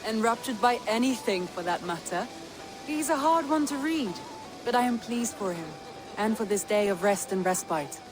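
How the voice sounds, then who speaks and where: A woman playing a composed, loving Norse stateswoman in a video game, with formal, deliberate and sincere delivery. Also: on the high side, lively intonation, music in the background, natural voice, low-quality recording. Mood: warm, relaxed. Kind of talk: video game dramatic dialogue. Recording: outdoor ambient noise behind voice.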